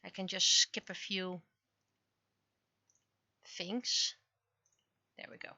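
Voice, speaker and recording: A woman livestreaming casually while she works, muttering to herself and questioning things aloud in an expressive way. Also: fairly low pitch, takes it slowly, creaky, dry recording. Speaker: livestreamer, webcomic and visual novel creator.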